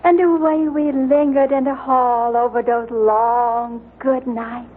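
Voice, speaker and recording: A woman's voice: fairly high pitch, steady tone, slow, husky. An actress playing a devoted, wistful secretary in an old radio sitcom, lingering sentimentally over her words. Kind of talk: 1940s-50s radio sitcom acting. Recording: tinny vintage radio with faint audience.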